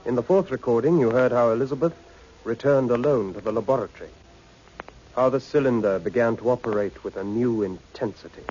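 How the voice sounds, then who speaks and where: A man's voice: mid-range voice, brisk, dark tone, lightly acted. An actor playing a serious male storyteller narrating a suspenseful 1960s radio serial. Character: serious male storyteller recapping a mysterious affair. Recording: muffled old radio recording.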